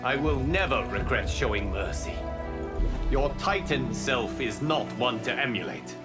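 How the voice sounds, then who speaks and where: Game role, male actor: wise guardian fire deity, measured, formal and solemn, mid-pitched, over loud music. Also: lightly acted. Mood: solemn, firm. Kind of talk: video game fighter dialogue.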